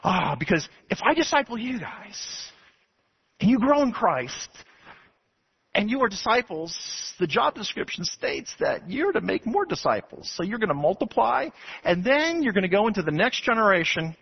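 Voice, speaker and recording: A man from Texas delivers a sermon to his church with lively swings of pitch that rise to emphatic preaching. His voice is a bit husky and sits a little high. The recording sounds dull and lo-fi. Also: moderate pace. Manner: animated, rising to emphatic preaching. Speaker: pastor. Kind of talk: expository sermon.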